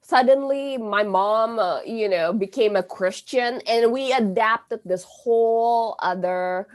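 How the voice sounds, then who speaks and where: A middle-aged woman whose first language is Indonesian, speaking in an unhurried, measured way with a mid-range voice. She talks as a guest in a conversational interview, pausing and restarting often and filling gaps with "you know" and "like.". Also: on the high side. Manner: halting, many fillers and restarts. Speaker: astrologer guest.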